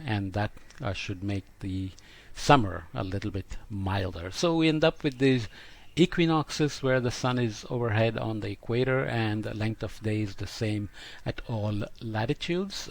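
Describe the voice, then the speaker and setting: Low-voiced man lecturing haltingly. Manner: halting, frequent uh pauses mid-sentence. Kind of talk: explanatory science lecture.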